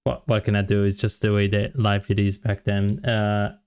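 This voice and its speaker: A Brazilian man in his thirties whose low voice has a gravelly edge. He talks to his camera in a loose, rambling way, with plenty of "uh" and "you know" as he finds his words in his second language. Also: flat delivery, moderate pace, darkish tone. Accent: Brazilian Portuguese. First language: Portuguese. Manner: rambling with many fillers and restarts. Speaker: vlogger, software developer. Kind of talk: casual storytelling to camera.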